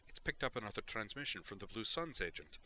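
A man's voice: fairly low pitch, sing-song, quick pace, bright, forceful tone, a little hiss or hum, heavily acted. A man reading the lines of a young alien woman engineer, urgent and snarling when threatened. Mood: eager.

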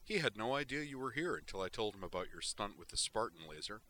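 A man's voice: lowish voice, sing-song, quick pace, pushed and bright, a little hiss or hum. A man voicing a smug male informer who sounds oddly triumphant. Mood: gloating. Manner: gloating.

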